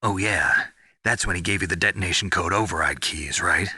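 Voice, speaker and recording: An actor playing a gruff, world-weary special forces soldier in a video game, terse and deadpan with a gravelly, husky voice. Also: male voice, deep voice, fairly quick, no echo, clean studio sound, exaggerated voice. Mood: questioning, guarded. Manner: terse, deadpan, hard-bitten. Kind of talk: dramatic video game voice acting.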